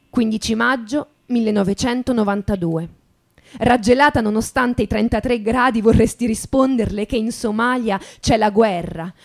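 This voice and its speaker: A woman reading a literary novel for radio in a measured, expressive, dramatic style. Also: fairly high pitch. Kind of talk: dramatic literary narration with music. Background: plucked guitar music.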